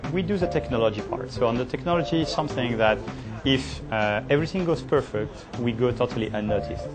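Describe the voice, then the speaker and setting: A man's voice: mid-range voice, brisk, over music. A man speaking as a company executive in an interview, emphatic with somewhat uneven grammar. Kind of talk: interview statement.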